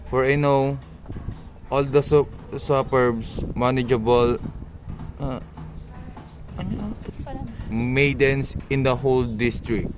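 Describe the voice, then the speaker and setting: A man playing a boastful, fawning village matchmaker in an amateur radio drama, reading haltingly and stumbling over words. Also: medium pitch, flat delivery, natural voice.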